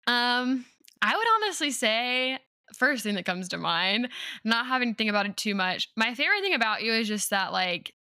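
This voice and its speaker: A young woman in her twenties chats with her best friend on a podcast, giggling often and talking in easy, rambling sentences. Her voice is bright and forward. The sound is close and dry. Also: on the high side, lively intonation, normal speed, no echo. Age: 20s. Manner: bubbly, giggly, chatty. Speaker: podcast co-host, teacher. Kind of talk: casual chat between friends.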